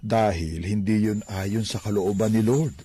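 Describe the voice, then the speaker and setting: Devout, low-voiced Filipino father. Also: fairly even intonation, measured pace, warm tone, husky. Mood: friendly, firm. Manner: earnest, fatherly. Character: devout, kindly father running a small neighborhood store. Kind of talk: radio drama acting in Tagalog. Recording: noisy radio broadcast playback.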